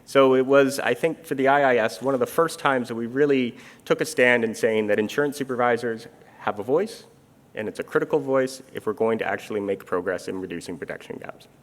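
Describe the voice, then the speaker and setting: A middle-aged man from Canada speaking in a measured, explanatory way, with many fillers, in an onstage armchair conversation. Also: medium pitch. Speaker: international insurance supervision official. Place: conference stage. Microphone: handheld or stage microphone.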